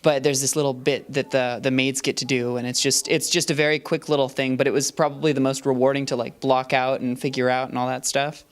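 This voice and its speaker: Young male student actor, smooth tone, thoughtful and hesitant delivery. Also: mid-range voice, level tone, brisk, few pauses. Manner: thoughtful, hesitant, many restarts and fillers. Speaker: student actor and assistant director. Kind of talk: casual interview answers.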